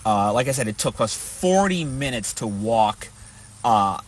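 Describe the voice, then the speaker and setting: A man casually recalling an experience off the cuff, halting and repeating words as he searches for phrasing. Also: medium pitch, animated, slight background noise. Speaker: storyteller recounting a protest. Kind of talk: casual unscripted recollection. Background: music.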